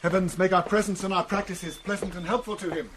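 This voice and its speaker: An actor playing a deferential, eager-to-please young courtier in a classical Shakespearean drama. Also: male voice, sits high, steady tone, quick pace, background noise, close to an everyday voice. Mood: deferential, earnest.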